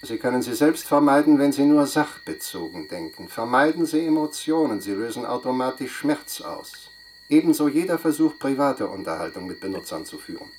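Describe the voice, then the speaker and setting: An actor playing a stern psychotherapist on a training film in a sci-fi radio play, giving clipped, commanding instructions. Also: male voice, medium pitch, expressive, normal speed, a little mellow, slight background noise, close to an everyday voice. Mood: coldly instructive. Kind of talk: science fiction radio play acting.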